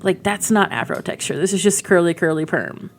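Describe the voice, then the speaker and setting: A woman's voice: on the low side, fairly quick. A woman giving casual gaming commentary as a video host, chatty and enthusiastic, thinking aloud as she goes. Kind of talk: casual game preview commentary.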